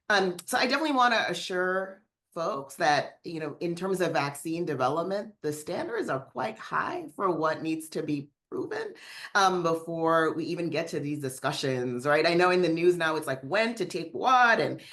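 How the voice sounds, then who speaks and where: A woman's voice: deep voice, fairly bright tone. A woman speaking as a panelist in a webinar discussion, conversational, often checking in with "right?".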